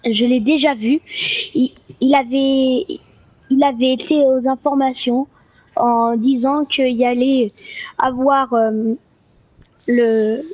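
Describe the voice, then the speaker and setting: A woman's voice: high-pitched, steady tone, slow, no echo, dull audio. A woman in a game roleplay, telling a rambling, chatty story in character.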